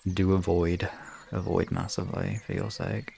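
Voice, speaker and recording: A young man chatting casually on a livestream in a rambling way, sometimes slipping into soft baby talk. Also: sits low, flat delivery, moderate pace, vocal fry. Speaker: live streamer.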